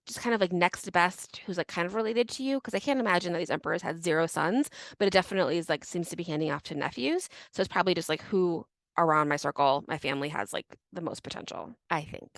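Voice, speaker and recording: A woman's voice: on the low side, no echo. Chatty young woman telling history. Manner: chatty, laughs often, many "like" fillers. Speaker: podcast co-host. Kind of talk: casual history storytelling.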